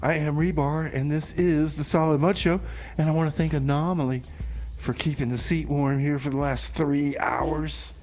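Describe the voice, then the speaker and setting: A man hosting a community radio show, chatting casually and haltingly in a rambling, informal way with comedic banter. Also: a little high, steady tone, a little mellow. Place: radio studio.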